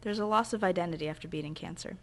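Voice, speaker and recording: Female documentary narrator: earnest, measured scripted read, lowish pitch.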